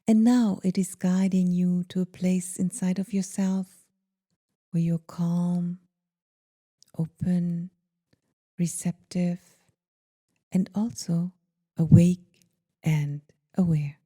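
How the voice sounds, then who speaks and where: A woman guiding a meditation in a gentle, soothing, deliberate manner, leaving pauses between phrases. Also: fairly low pitch, fairly even intonation. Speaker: meditation guide. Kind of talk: guided meditation.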